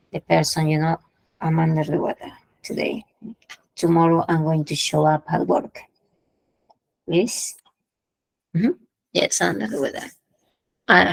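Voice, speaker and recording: A woman's voice: on the low side, vocal fry, no room sound, low-quality recording. A woman teaching English idioms in an online class, explaining patiently, repeating phrases and checking in with her students. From Peru.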